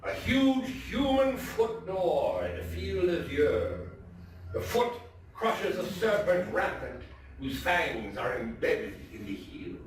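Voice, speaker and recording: A sly, vengeful aristocrat of gothic fiction, acted by a man, coaxes with false, flattering concern. His delivery is deliberate and insinuating, lifting high and varied, in a very reverberant room heard from afar. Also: full character voice. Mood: proud. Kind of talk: theatrical literary reading. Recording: roomy distant stage recording, faint noise.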